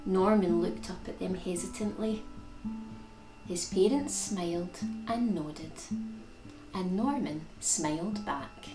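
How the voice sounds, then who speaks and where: A woman's voice: on the low side, slow pace, warm tone. A woman reading a picture book aloud for young children as storytime host, expressively and with dramatic emphasis on key words. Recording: roomy echo with plucked guitar music.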